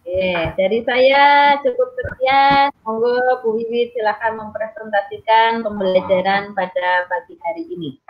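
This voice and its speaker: Woman moderator, very slow deliberate speech, dull video-call sound. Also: fairly high pitch. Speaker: teacher moderating the session. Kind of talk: formal opening of an online lesson.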